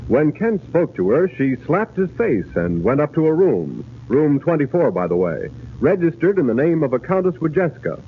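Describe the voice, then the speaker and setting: Man, theatrical serial narrator, lively intonation, emphatic, phone-like 1940s broadcast with faint noise. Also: fairly high pitch, normal speed, hardly any pauses. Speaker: announcer and narrator. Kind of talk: dramatic 1940s radio narration. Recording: tinny, noisy 1940s radio broadcast.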